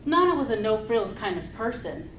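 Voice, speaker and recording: A woman giving a rehearsed storytelling speech to an audience, speaking deliberately and expressively with pauses. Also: on the high side, very animated. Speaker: club speaker giving a tall tale speech. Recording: roomy, distant mic with loud noise.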